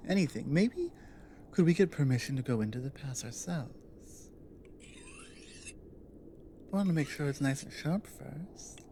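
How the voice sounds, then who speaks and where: Female orc warrior acted by a woman, low voice, slow deliberate pauses, earnest, protective, intimate audio drama, faint background ambience. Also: dark tone, slight background noise.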